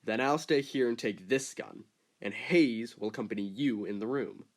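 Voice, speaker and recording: A man's voice: mid-range voice, moderate pace, lightly acted. Guy doing a blunt bossy ship captain, doesn't trust anybody, audio drama. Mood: firm. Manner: blunt, commanding. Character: stern ship captain, distrustful.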